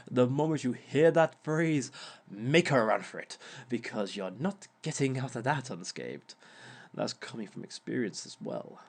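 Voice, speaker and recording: A man's voice: medium pitch, very animated, measured pace, gravelly, long pauses, full character voice. A man playing a smug male villain who gives romance advice in a wry, mock-sympathetic way and chuckles often at his own words. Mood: wry, amused. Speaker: villain relationship expert. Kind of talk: audio roleplay monologue.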